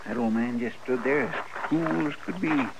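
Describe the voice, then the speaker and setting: A folksy American deputy played by a man in an old radio western, mid-pitched and earnest. He is plain-spoken and easily astonished, heard over faint recording noise. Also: normal speed, gravelly, natural voice. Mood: impressed. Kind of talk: 1950s American radio drama acting.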